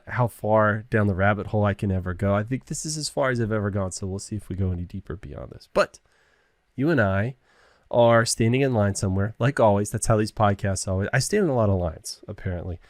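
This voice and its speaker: A man's voice: lowish voice, a little fast, no echo, studio quality. A man hosting a podcast interview, easygoing and self-deprecating in manner. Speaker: podcast host.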